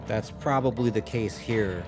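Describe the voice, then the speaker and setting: A man's voice: on the low side, measured pace, music in the background. A man hosting a gaming reaction video, talking casually and thinking aloud in a musing, hedging way.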